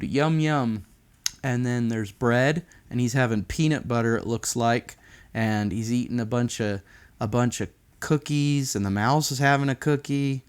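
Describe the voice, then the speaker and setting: A man's voice: mid-range voice, clear, resonant tone. A man reading a picture book aloud to children, with chatty, improvised asides and childlike enthusiasm.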